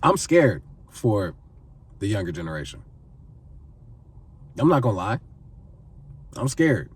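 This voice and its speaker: Husky young man giving earnest advice. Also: on the low side, big pitch swings, slow, distant mic. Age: 20s. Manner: pleading and repetitive, repeats phrases for emphasis. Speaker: vlogger giving life advice. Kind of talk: earnest direct-to-camera advice.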